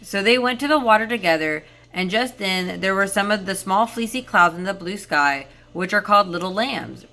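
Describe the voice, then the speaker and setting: A woman storyteller who reads fairy tales and comments on them in an informal, rambling, expressive way. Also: mid-range voice, moderate pace, few pauses. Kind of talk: storybook narration with casual chatty commentary. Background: soft ambient background music.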